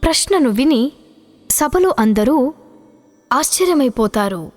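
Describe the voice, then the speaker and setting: A woman's voice: high-pitched, lively intonation, takes it slowly. A woman narrating a scripted children's story, with music behind her. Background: background music.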